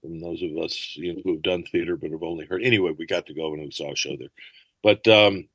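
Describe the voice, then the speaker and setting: An older man with a low, gravelly voice rambles through anecdotes as a podcast guest. The sound is close and dry, with no room around it. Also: steady tone, fast, a bit bright, dry recording. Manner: rambling storyteller, slips into accent impressions. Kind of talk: casual interview chat.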